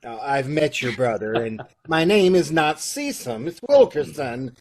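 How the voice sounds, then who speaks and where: A man's voice: mid-range voice, very animated, measured pace. A man playing a flustered middle-aged loan officer in an old-time radio comedy, repeating himself, stammering and laughing in exasperation, heard over a video call. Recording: distant, uneven video call audio.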